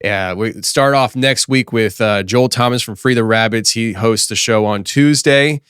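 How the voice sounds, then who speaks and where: A man in his thirties hosting a live podcast stream, chatting casually and rambling with lots of fillers, his voice a bit hoarse from losing it. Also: medium pitch, moderate pace, fairly bright tone, no echo, clean studio sound. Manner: rambling, talkative, many fillers and restarts. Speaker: podcast host. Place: office studio.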